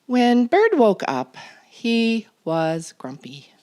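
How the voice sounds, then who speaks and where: Slow, expressive woman storyteller. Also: a little high. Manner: deliberate, expressive, child-directed. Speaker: children's storytime reader. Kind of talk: expressive picture-book narration.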